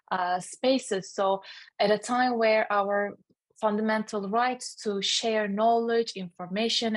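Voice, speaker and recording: A woman moderating a discussion in formal remarks, often pausing mid-sentence as she goes. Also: mid-range voice. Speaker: webinar moderator.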